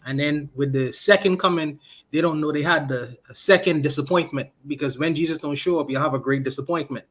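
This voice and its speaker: Emphatic man with a slightly high voice. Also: brisk. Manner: emphatic, repeats his points, frequent restarts. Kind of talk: opinionated livestream discussion.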